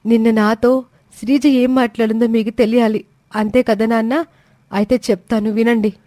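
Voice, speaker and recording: A woman's voice: on the high side, little pitch movement, normal speed, warm tone, dry recording, studio quality, close to an everyday voice. A woman voicing a hurt, stubborn young wife in a family story, indignant and questioning. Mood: hurt, defiant.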